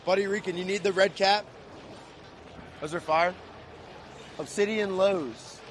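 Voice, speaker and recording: A man hosting a casual livestream, loud and hyped, talking to viewers and guests. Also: sits high, steady tone, measured pace, a little hiss or hum. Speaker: host.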